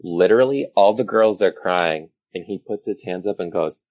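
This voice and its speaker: A man, a teacher, telling comic stories casually as a guest, with dramatic pauses and acted-out quotes. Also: deep voice, lively intonation, a little mellow.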